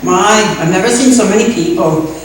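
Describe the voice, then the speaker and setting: A woman playing a wide-eyed, excitable friend in an old-time radio comedy. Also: mid-range voice, moderate pace, lightly acted. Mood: awed. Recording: very echoey hall, distant, faint noise.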